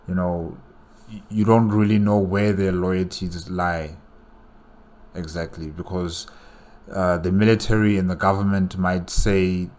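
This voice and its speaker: A man giving casual, unscripted reaction commentary, halting and repeating phrases as he thinks aloud. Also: low-pitched. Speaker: reaction video host.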